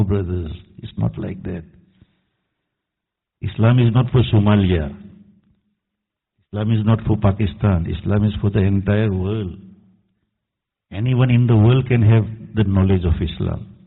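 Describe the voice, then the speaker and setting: A man's voice: on the low side, vocal fry, breathy edge. Man, imam, admonishing sermon, Arabic quotations, phone-like sound, long hall reverb. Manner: earnest, admonishing, quotes Arabic verses. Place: mosque hall. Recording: tinny echoey hall recording.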